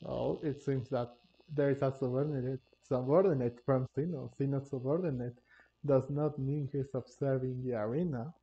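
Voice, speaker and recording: A man giving casual gameplay commentary as a video host, halting and searching for his words. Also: medium pitch, steady tone, warm tone. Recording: dull lo-fi mic, game music behind. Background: game music.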